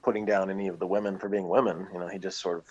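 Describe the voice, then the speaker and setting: A man's voice: sits low, flat delivery, very fast, a little mellow, muffled recording. A man in a casual, unscripted review chat, speaking in a hesitant, rambling way as he thinks aloud.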